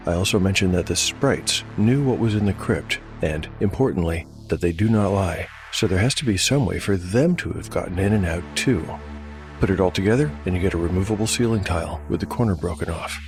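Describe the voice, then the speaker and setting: A man with a deep voice narrates a fantasy audio drama as its game master. His delivery is scripted storytelling, set over clearly audible music.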